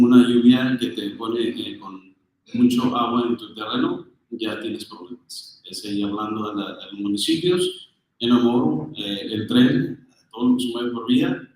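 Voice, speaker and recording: A man's voice: mid-range voice, lively intonation, normal speed, darkish tone. A man addressing a business audience in an earnest, emphatic way, with hesitations and fillers. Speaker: official speaker at a business briefing. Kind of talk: public address switching between languages. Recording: echoey room, far from the mic.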